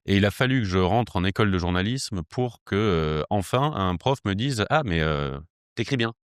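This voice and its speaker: A man around forty from southern France with a low, smooth voice, recorded close and dry. He speaks casually in an interview, doubling back on words and searching for the next one. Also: expressive, moderate pace, no echo. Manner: hesitant, self-correcting, repeats words as they think. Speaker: podcast guest, communication agency director. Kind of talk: casual interview, recounting background.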